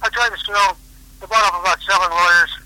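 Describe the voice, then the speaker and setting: A middle-aged man, a musician who grew up in California after being born in Germany, chatting as a radio guest, rambling and halting with many fillers. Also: sits high, fairly even intonation, bright, forceful tone, no echo, low-quality recording. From Germany, raised in California. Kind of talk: casual radio interview.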